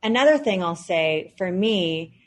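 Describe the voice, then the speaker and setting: A woman's voice: fairly low pitch, expressive, unhurried. A woman in her thirties, a podcast guest and founder, answering interview questions in an earnest, reflective way with frequent fillers. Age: 30s.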